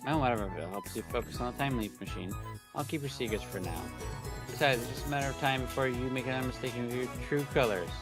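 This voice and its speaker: A smug young man in a visual novel, read out by a man. He teases in wordy, quick lines at a middling pitch. Music plays clearly behind him. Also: natural voice.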